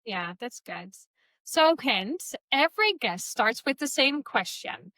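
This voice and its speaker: A woman's voice: a little high, sing-song, slow, sharp tone, dry recording. A woman hosting a podcast, asking interview questions in a friendly way and often backing the guest with "yeah". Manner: friendly, frequent "yeah" backchannels. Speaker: podcast host.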